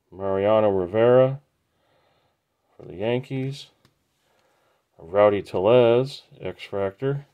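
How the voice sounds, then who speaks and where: A man hosting a trading card break, casually naming each card as he opens the packs in an unhurried, matter-of-fact way. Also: medium pitch, clean tone, narrow, tinny audio. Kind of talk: casual pack-opening commentary.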